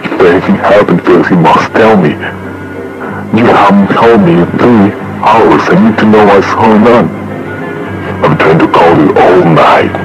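A man's voice: low voice, normal speed, muffled recording, close to an everyday voice. A man playing a frantic, angry parent leaving an urgent, demanding voicemail in a horror drama. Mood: stern, worried. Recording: loud music over voicemail.

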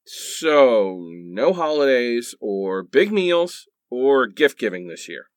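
A man acting an exasperated husband, swooping pitch. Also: sing-song, takes it slowly, no echo, clean studio sound. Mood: annoyed. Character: defensive, exasperated husband bickering with his wife. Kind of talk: radio play dialogue.

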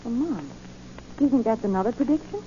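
Poised, wry society lady played by a woman, brisk and mellow, polite and curious, old radio play. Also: fairly high pitch, animated, close to an everyday voice. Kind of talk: 1940s radio acting. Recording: muffled, hissy 1940 radio recording.

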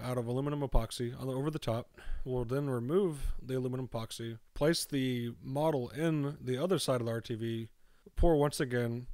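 A man's voice: medium pitch, darkish tone, dry recording. A young man who makes fishing lures explains mold making step by step in a voice-over, often saying "actually". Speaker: lure maker. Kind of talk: demonstration voice-over.

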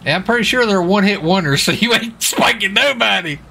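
A man's voice: high-pitched, expressive, brisk, gravelly, pushed and bright, slight background noise. A man bantering casually and teasingly in character during a police roleplay game. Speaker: roleplay gamer playing a lawman.

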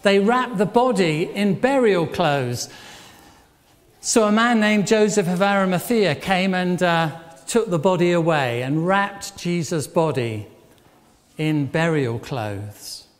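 A man's voice: high voice, slow. An older man giving an interactive church talk, putting questions to listeners and repeating their answers back approvingly. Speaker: church leader at an all-age service. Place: church hall, addressing a congregation. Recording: echoey church hall, faint background noise.

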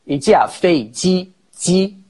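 A man in his thirties, a native Farsi speaker and English teacher, explaining slowly on a live video call through an earphone mic in a small room. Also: high-pitched, expressive, darkish tone. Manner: explains slowly, rephrasing with many fillers. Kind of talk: casual live video chat. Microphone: earphone headset mic on a video call.

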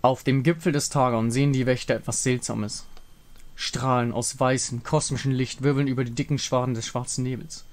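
A man's voice: mid-range voice, steady tone, fairly quick. A man giving scripted dramatic narration, reading out a fantasy game story.

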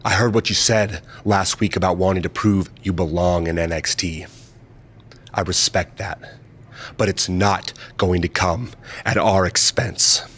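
An actor playing a stern, serious tag-team wrestler in a video game cutscene, husky and firmly measured. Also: male voice, sits low, steady tone, fairly bright tone, exaggerated voice. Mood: stern, determined.

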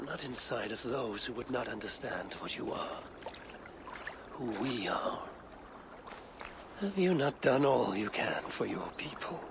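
A haunting old god for a game, gravelly n slow, very solemn, breathy. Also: male voice, lowish voice, background noise, heavily acted. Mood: grave urging. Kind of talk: video game cutscene acting.

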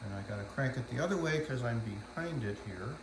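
Middle-aged male collector for an informal demo video, halting and matter-of-fact delivery, recorded indoors. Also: mid-range voice, expressive, noisy background. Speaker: collector demonstrating vintage equipment. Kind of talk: informal demonstration narration. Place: indoors in a room at home.

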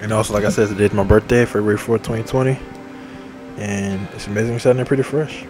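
Young man in his twenties, low voice, upbeat rambling gamer talk, faint game music under it. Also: fairly even intonation, quick pace. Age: 20s. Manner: repetitive, upbeat, enthusiastic shout-outs. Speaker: gaming channel host. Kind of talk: rambling casual monologue over game menu. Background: faint game menu music.

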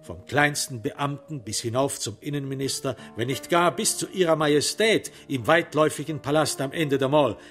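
A man narrating a literary crime radio play in a measured, dramatic way, with pointed pauses. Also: mid-range voice, fairly bright tone, faint music behind.

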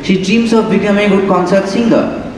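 A man's voice: high-pitched, fairly even intonation, fairly quick, natural voice. Young man playing a friendly young painter. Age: young adult. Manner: formal, theatrical, explanatory. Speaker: student actor. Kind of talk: stage acting in a school play. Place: large theatre stage. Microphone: far stage mic. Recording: echoey hall from far off, noise.

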